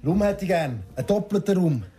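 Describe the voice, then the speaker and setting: An actor playing a courteous, slightly hesitant café guest in a Swiss German radio drama. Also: male voice, high voice, expressive, moderate pace, noisy background. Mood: polite, tentative. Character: polite, hesitant stranger dropping into a café.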